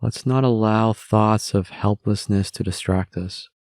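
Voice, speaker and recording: A man narrating a guided meditation in a measured way, with long pauses between phrases. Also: on the low side, darkish tone, no echo, clean studio sound.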